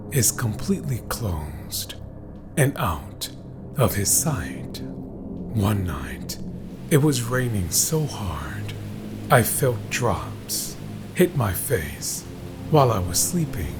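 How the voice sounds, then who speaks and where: A man reading scripted horror stories in a dramatic, deliberate way, with phrases that often trail into a hush. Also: on the low side, very animated. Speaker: horror story narrator. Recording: background music behind voice. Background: music.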